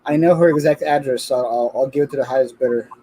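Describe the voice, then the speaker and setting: A man's voice: medium pitch, steady tone, fast, warm tone, poor audio. A man co-hosting a livestream, joking around, reading the chat aloud and stammering on restarts. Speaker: co-host of a livestream.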